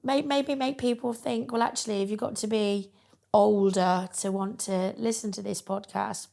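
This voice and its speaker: A woman with a croaky voice interviewing a friend in a small studio, friendly and rambling with many ums. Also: medium pitch, a little mellow. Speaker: interviewer, podcaster. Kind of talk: casual interview chat.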